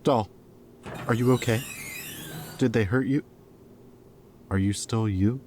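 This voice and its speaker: A man's voice: medium pitch, takes it slowly, darkish tone, a little hiss or hum, natural voice. A man playing a captured rebel scientist in an intimate audio roleplay, caring and guilt-ridden, speaking in a hesitant, casual, earnest way. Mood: worried, apologetic.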